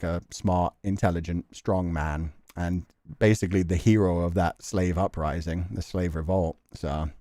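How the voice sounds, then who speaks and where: A man's voice: low voice, darkish tone. A man musing aloud and reading narration as he plays through a visual novel. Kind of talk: casual game commentary and narrated reading. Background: music.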